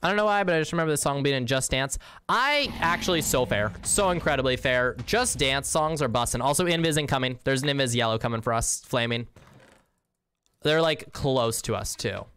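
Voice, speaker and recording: A man's voice: mid-range voice, a little fast, fairly bright tone, clear, resonant tone. A young man casually chatting with viewers while playing a game, slangy and easygoing, with the odd swear. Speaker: streamer. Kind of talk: casual game livestream, reading chat.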